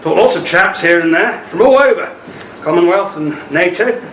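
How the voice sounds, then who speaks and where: A man plays an earnest British ex-forces husband in a radio drama. His voice is bright and fairly high, with polite, feeling delivery. The room echoes around him. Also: lively intonation, normal speed. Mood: friendly, probing. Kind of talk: radio play acting. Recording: roomy amateur recording with faint noise.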